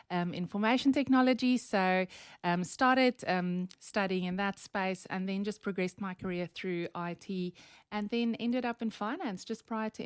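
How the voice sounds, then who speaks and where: A woman's voice: medium pitch, clean tone, no echo. Woman, Afrikaans accent, forties, chatty guest, laughs a lot, dry close sound. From South Africa. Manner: chatty, laughs often, many um fillers. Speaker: podcast guest. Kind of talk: conversational interview storytelling.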